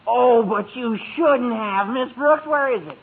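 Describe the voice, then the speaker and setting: Tinny hissy radio recording, high-voiced teenage boy, squeaky, eager, gawky, gushing, sitcom comedy. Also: a little slow. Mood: eager, bashful. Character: gawky, eager teenage schoolboy, lovestruck and cheeky. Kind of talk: 1950s radio sitcom acting.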